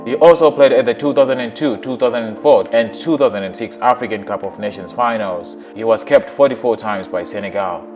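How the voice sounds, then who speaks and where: A man narrating a scripted countdown of football players in an even, list-like reading. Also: fairly low pitch, fairly quick, faint music behind.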